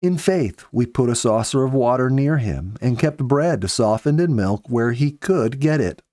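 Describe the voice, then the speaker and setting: A man in his fifties reading a story aloud in measured, earnest storybook narration, close to the microphone. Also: medium pitch, expressive, dark tone, no echo. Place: small indoor home study. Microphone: close studio microphone with pop filter.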